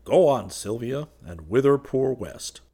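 Male reader as a young male lover, low pitch, cheerful, reassuring, affectedly unconcerned, storybook dialogue. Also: expressive, unhurried, darkish tone, slightly rough, exaggerated voice. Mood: gaily reassuring. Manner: light, nonchalant reassurance. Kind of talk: audiobook character dialogue.

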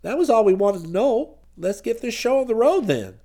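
A man's voice: on the high side, big pitch swings, moderate pace, creaky, a little mellow, husky, voice pushed down, exaggerated voice. A man voicing a hearty American civic-booster businessman in an audiobook, plain-spoken, emphatic and businesslike. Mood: hearty, then troubled.

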